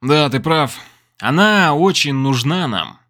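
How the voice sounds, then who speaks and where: A man's voice: fairly high pitch, sing-song, slow, sharp tone, exaggerated voice. A man voicing a weary, earnest businessman and determined conspirator in an audiobook, with a grave, emphatic manner. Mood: weary, conceding.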